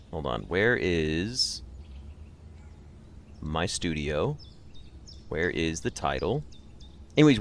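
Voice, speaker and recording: Male livestream host, low register, loose conversational delivery, joking and slangy asides to viewers. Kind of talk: casual chat with live stream viewers.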